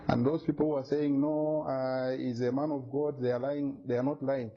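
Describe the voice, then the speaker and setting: A man's voice: mid-range voice, level tone, normal speed. A man from Zambia, making an insistent, indignant statement to the media and repeating his points. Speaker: businessman making a complaint. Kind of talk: emphatic accusatory statement to media. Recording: dull lo-fi recording.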